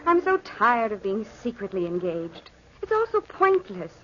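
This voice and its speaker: A woman's voice: medium pitch, big pitch swings, normal speed, fairly bright tone, a little hiss or hum. An actress playing an outspoken, impatient young woman in a 1940s radio drama, with an emphatic, reproachful delivery. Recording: dull vintage radio drama recording.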